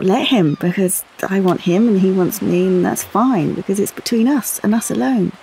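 A woman's voice: lowish voice, vocal fry, warm tone, slight background noise, low-quality recording. An actress playing a candid young British woman in an audio play, confiding memories of a romance. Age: young adult. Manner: confiding, intimate storytelling. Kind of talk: audio play monologue with dialogue.

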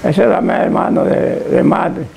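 Really old dominican man, super gravelly high voice, stops and restarts a lot, lapel mic in a living room. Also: a little mellow, a little hiss or hum. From Dominican Republic. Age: older. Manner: halting, repeats and restarts words, short assents. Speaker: interviewee. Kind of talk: recalling his life in a home interview.

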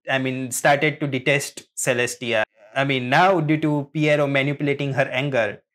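A man hosting a reaction video, giving rambling, halting, casual commentary as he theorizes like a fan. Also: on the high side, fairly bright tone. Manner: rambling, halting, chuckling often.

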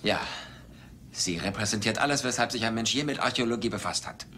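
An actor playing a rugged, self-assured adventurer archaeologist in a film dub, dry, matter-of-fact and insistent. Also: male voice, on the low side, fast, bright, forceful tone. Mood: intent, curious. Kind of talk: dubbed film acting. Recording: noisy old film dub soundtrack.

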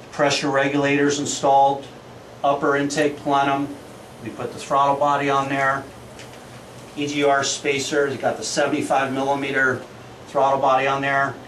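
A man's voice: mid-range voice, fairly bright tone. A man in his forties gives an informal product walkthrough as an engine builder, plain-spoken and salesman-like, listing specs in clipped phrases. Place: small indoor engine workshop. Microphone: camera microphone a few feet away. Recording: echoey small room, distant camera microphone, background noise.

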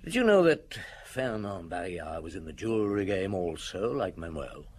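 An actor playing a shrewd, unhurried, wry middle-aged chief inspector with a husky voice in a radio drama. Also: male voice, sits low, big pitch swings, exaggerated voice. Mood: wry, probing.

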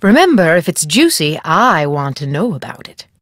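An actress playing a haughty, gossipy middle-aged novelist in a video game, speaking in an arch, condescending, theatrical way. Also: female voice, deep voice, sing-song, normal speed, no room sound, studio quality. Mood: self-assured, arch. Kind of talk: video game character acting.

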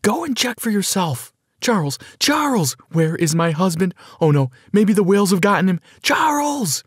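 A man voicing an excitable, delusional adoptive mother in a story, dramatic and swooping between gushing and panic. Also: medium pitch, quick pace, husky, dry recording, studio quality. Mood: panicked.

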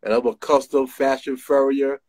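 A man's voice: medium pitch, little pitch movement, normal speed, a little mellow. A middle-aged man with a New York area accent, a designer talking as a guest on a phone video call, using street slang and repeating himself emphatically. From New Jersey, USA. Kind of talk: casual interview on a video call. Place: small room indoors. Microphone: phone front camera.

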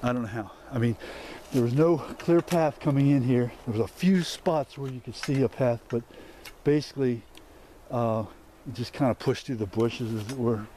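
A man narrates as he pushes through thick woods, holding his camera as he goes. He talks in a loose, rambling way, full of ums and restarts, at a middling pitch. A faint outdoor hiss sits under the voice. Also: normal speed, dark tone. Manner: rambling, many fillers and restarts. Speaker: explorer vlogger. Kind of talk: walk-and-talk vlog narration. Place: dense overgrown woods outdoors. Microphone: handheld camera mic while walking.

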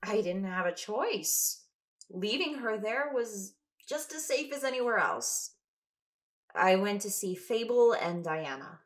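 A woman's voice: mid-range voice, lively intonation, takes it slowly. An actress playing a wary, insistent woman explorer in an audio drama. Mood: subdued, explaining. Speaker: lead actor in audio drama.

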